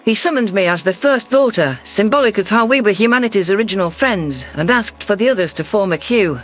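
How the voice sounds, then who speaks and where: A man's voice: sits high, animated, fairly quick, a bit bright, faint music behind. A man reading a scripted first-person science fiction story as its narrator.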